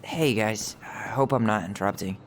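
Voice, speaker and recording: A man's voice: lowish voice, moderate pace, a little hiss or hum, close to an everyday voice. An actor playing an earnest, probing young man in a naturalistic video game drama. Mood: polite, casual. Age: young adult.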